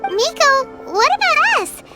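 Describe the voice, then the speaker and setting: Tiny squeaky fairy girl in anime game, super high, bubbly, swoops all over, loud music behind. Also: very animated, a little slow, heavily acted. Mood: indignant surprise. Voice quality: squeaky, childlike. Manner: bubbly, blunt, exclamatory. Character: tiny excitable childlike fairy companion girl. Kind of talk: anime video game voice acting.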